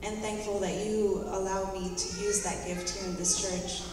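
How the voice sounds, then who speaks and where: A woman's voice: mid-range voice, little pitch movement, unhurried, noisy background. Woman telling her testimony at church, earnest, short phrases, big echoey hall, sounds far off from the mic. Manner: earnest, heartfelt. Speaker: church member giving a testimony. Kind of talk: personal testimony in short phrases, paused for interpreting. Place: large church sanctuary.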